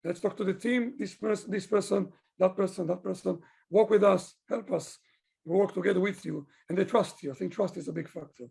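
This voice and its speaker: Husky, high-pitched man from Israel. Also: fairly quick, a little mellow. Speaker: business owner, digital consultant being interviewed. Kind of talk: interview answers, conversational.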